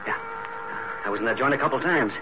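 On a muffled, noisy old radio recording, an actor plays a brash reporter after a scoop. He rattles along quickly with lively pitch swings and a wisecracking 1940s delivery. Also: close to an everyday voice. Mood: excited, sly.

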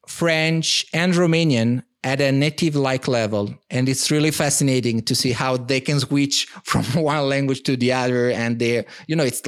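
A man in his thirties with an Italian accent, a native Italian speaker answering interview questions in a hesitant, earnest way with many restarts. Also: a little high. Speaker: podcast guest.

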